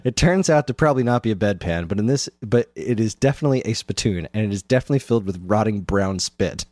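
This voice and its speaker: An exasperated comic game narrator played by a man scolds the player with sarcastic disbelief. His pitch swings widely through the disgust, in a close, dry sound. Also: animated, fast, darkish tone, no room sound, natural voice. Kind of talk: dramatic reading of comic game text.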